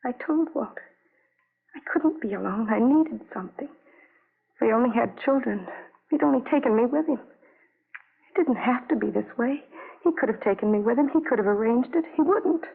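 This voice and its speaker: Lonely distraught wife in old radio drama, halting creaky voice, thin tinny sound. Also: female voice, a little high, lively intonation, exaggerated voice. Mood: desolate, pleading. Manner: halting, repetitive, fragmented sentences. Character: anguished lonely young wife confessing to police. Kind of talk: 1950s radio drama acting. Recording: tinny vintage radio broadcast recording.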